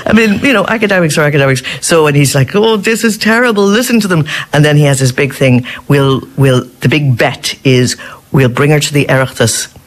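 A man with a higher-than-usual voice talks animatedly in a casual interview. He often restarts his sentences as his thoughts run ahead. The style is that of a theatre director chatting about his work. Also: fairly quick.

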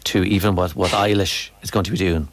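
A man's voice: low-pitched, steady tone, a little fast, slight background noise. A man with an Irish accent interviewing guests conversationally on the radio.

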